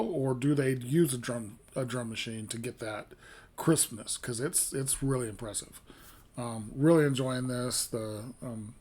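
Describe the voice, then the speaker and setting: A man hosting a music reaction video, talking casually in a rambling, hesitant way with lots of fillers and restarts. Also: a little mellow. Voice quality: fairly low voice.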